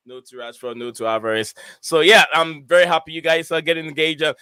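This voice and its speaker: Bright, crisp-voiced male stream host. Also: a little high, fast, no room sound. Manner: hesitant, lots of uh and um, reads out chat comments. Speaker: host of a football podcast stream. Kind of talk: casual live-stream chat.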